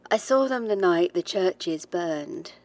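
Woman acting a haunted researcher, lowish voice. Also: expressive, takes it slowly, a little mellow. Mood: haunted, reflective. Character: haunted, determined woman researcher, British-born, recalling childhood ghosts. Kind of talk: confessional audio diary monologue in horror audio drama.

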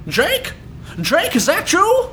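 A teasing, petulant gentleman detective played by an actor in a comic noir radio play. The delivery swings very widely in pitch, with affected old-fashioned phrasing, over light background noise. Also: huge pitch swings, slow pace, heavily acted. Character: flippant, posh-talking male rival detective, teasing and petulant.

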